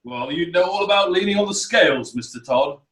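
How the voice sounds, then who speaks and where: An actor playing an indignant, pompous food inspector giving evidence in a period courtroom play, with emphatic delivery. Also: male voice, high-pitched, lively intonation, fairly quick, a bit bright, echoey room. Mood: accusing. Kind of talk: theatrical courtroom play acting.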